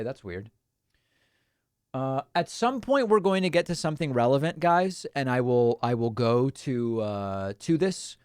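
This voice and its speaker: A man's voice: mid-range voice, darkish tone, no echo. Dry-sounding male livestream host, native Spanish speaker. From Argentina. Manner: casual, offhand, frequent ums and uhs. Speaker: political commentary host. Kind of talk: livestream chat, reading viewer comments.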